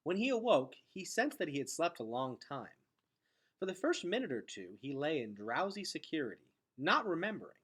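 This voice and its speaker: Expressive man reading a story aloud. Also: fairly high pitch, moderate pace, husky. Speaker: read-aloud reader.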